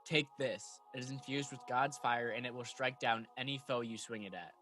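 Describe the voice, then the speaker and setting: A man's voice: medium pitch, fairly even intonation, moderate pace, loud music behind the voice, close to an everyday voice. Stern, dutiful archangel played by a man. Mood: authoritative. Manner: earnest, solemn, instructing. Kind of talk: radio play acting.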